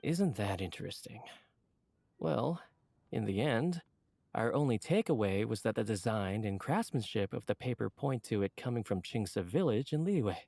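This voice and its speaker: Polite wordy editor in a game, bit gravelly, animated pitch, explains stuff earnestly. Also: male voice, no room sound. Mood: earnest, explanatory. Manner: measured, courteous, explanatory. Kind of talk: video game character dialogue.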